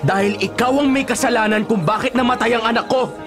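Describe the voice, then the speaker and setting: A man's voice: very high voice, fairly quick. A man playing a bitter, grieving father in a radio drama, accusing and resentful toward his older sister. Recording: dramatic music behind the voice. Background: music.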